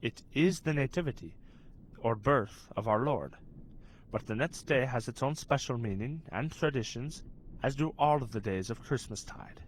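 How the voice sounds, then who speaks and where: An actor playing a kindly, learned saint in a children's audio drama, explaining things in a formal, avuncular way. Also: male voice, medium pitch, animated, moderate pace, a little hiss or hum, close to an everyday voice, rough recording. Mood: kindly, instructive.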